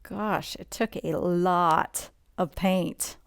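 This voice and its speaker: A woman's voice: fairly low pitch, fairly even intonation, gravelly, smooth, no room sound. A woman reading a picture book aloud as a bedtime read-along, adding conversational asides and questions to the listener. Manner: unhurried, conversational, points out details and asks the listener questions.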